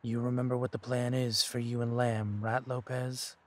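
A man playing a steady, protective werewolf pack leader in an audio roleplay drama, calm and deliberate. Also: mid-range voice, close to an everyday voice. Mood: calm, commanding.

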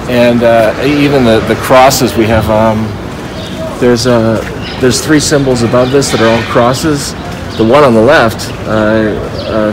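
A man in his forties giving a casual walking tour to camera outdoors, explaining informally and haltingly as he points things out. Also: lowish voice. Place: outdoors in a paved city square. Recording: noisy outdoor city ambience with traffic.

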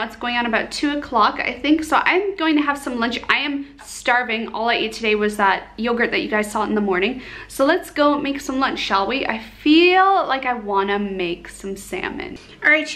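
A woman's voice: fairly high pitch, fairly bright tone. A woman in her twenties talking casually to her vlog viewers at home, bubbly, informal and self-deprecating. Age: 20s. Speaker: beauty and lifestyle vlogger. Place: indoors at home, living room and kitchen.

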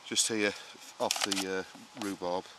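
A man with a low, flat voice talks casually through gardening jobs. His delivery is halting and unscripted, explaining as he goes. The camera microphone picks up clear outdoor ambient noise around him. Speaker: gardening vlogger. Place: outdoor vegetable garden and greenhouse. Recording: clearly noisy outdoor ambience, uneven distance.

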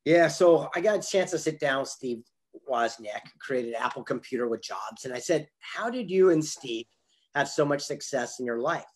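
An older man with a husky voice, telling motivational stories as a guest speaker in an emphatic, deliberate way on a video call. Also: mid-range voice, lively intonation. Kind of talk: motivational storytelling. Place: indoor room on a video call.

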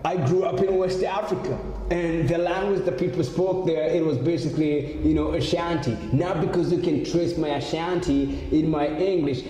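A young man ranting hard to his camera, angry and emphatic, swearing as he goes. His voice is mellow and fairly high, swinging up and down. The small room rings with long, loud reverb, and music plays behind him. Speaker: vlogger. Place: small indoor room with maps on wall.

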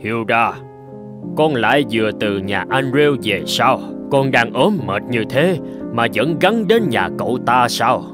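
A man's voice: medium pitch, expressive, measured pace, lightly acted. Man voicing a stern middle-aged cartoon father. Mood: concerned, firm. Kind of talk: animated fairy tale dubbing. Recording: background music under the dialogue.

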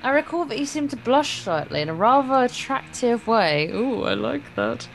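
A woman's voice: on the high side, very animated, moderate pace, gravelly, soft background music. A woman reading visual novel text aloud as a let's play co-host, dramatic and jokey. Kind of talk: story read-aloud with playful banter.

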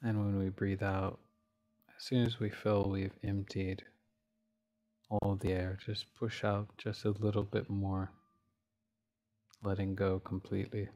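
A man leading a guided meditation as a teacher, speaking calmly in short, measured phrases with long pauses. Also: fairly low pitch, no echo.

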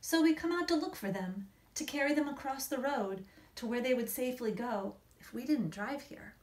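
A woman in her forties, an author reading and explaining things to children in an expressive, emphatic storytelling manner. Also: high-pitched, moderate pace. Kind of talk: expressive picture-book read-aloud and explanation to children. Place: small indoor room against a plain wall.

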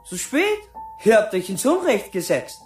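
A man's voice: high voice, wildly swooping pitch, measured pace, roomy sound, soft background music, full character voice. A man acting a cold, accusing town mayor in a German radio play, stern and dismissive. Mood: cold, threatening.

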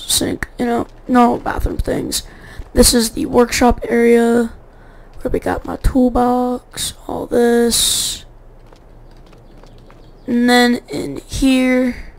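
Woman, gameplay commentary, rambling and chatty, sore gravelly voice. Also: a little high. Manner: rambling, self-correcting, chatty. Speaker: gaming YouTuber.

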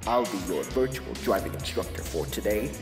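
Villainous male mad scientist: pompous, unhurried, heavy hall reverb over music, horror parody. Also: fairly low pitch, expressive. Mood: sly, pompous. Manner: pompous, deliberate, theatrical. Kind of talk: scripted instructional parody in analog horror.

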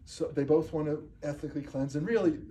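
An older man talks from a lectern in a community meeting room, picked up by a distant camera with no microphone. His voice is mellow and dark, and his delivery is blunt and emphatic, with frequent checks of "okay?". A faint hiss of room noise sits underneath. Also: on the high side, fairly quick. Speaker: speaker giving a talk. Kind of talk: lecture and audience Q&A. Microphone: far camera, no microphone.

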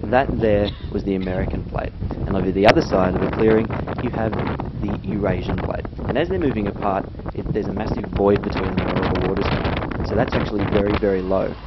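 Low-voiced Australian man over loud noise. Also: brisk. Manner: chatty, informal. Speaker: travel video narrator. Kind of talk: casual unscripted travel narration with science explanations. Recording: dull, lo-fi audio with loud background noise.

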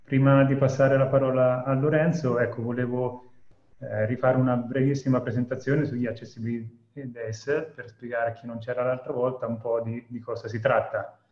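A man's voice: medium pitch, little pitch movement, normal speed, a little mellow. A man in his thirties from Italy presenting an online webinar as event co-founder over a video call. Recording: echoey, dull webinar audio.

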